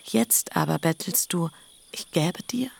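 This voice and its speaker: A woman's voice: on the low side, expressive, a little slow, gravelly, heavily acted. An actress playing Leah, an elder sister and mother, speaking curtly and reproachfully in a radio play. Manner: reproachful, curt.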